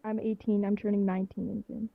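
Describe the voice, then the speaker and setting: An eighteen-year-old girl calls into a stream, speaking quietly and unsurely and often trailing off. Her covered mic makes the sound dull and muffled, and it is dry, with no room around it. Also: mid-range voice, flat delivery, fast talker, gravelly, no echo. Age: teens. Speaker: caller.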